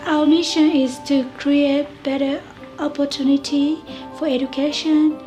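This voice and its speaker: A woman's voice: high voice, little pitch movement, measured pace. A woman interviewed for a documentary, speaking earnest, simple English that is not her first language. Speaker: hotel founder interviewed in a documentary. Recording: documentary clip with music underneath.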